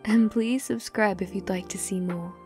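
A woman's voice: mid-range voice, steady tone, normal speed, over music. A woman hosting an ASMR channel, reading a scripted outro to listeners.

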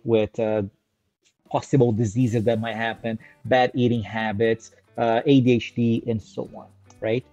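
A man in his thirties from Brazil, a native Portuguese speaker, teaching casually to a webcam from a small room. His voice is mellow and warm, the sound is dry and slightly dull, and faint music sits underneath. He talks with energy, checks in with "right?" and stretches out each new list heading. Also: mid-range voice, dry recording. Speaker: educator presenting on infant care. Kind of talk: casual educational talk to camera. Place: small indoor room with a screen on the wall.

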